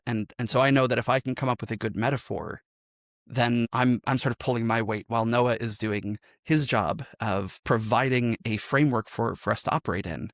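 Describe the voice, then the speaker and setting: Male podcast guest, medium pitch, explanatory and conversational, very dry recording. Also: dry recording. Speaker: interview guest, improv musical performer.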